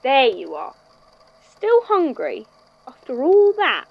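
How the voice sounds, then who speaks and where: A woman playing a composed, wry institute head in a scripted audio drama, speaking in a measured, conversational way. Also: high-pitched, huge pitch swings, gravelly, no room sound, dull audio, exaggerated voice. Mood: teasing. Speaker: podfic voice actor, institute head narrating a statement.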